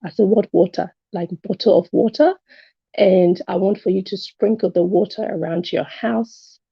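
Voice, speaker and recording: Woman caller, mid-pitched husky voice, casual personal storytelling, conversational, dull dry call audio. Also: fairly even intonation, moderate pace, no room sound. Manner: conversational, re-enacts dialogue. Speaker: caller to a talk show. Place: remote call into an online show.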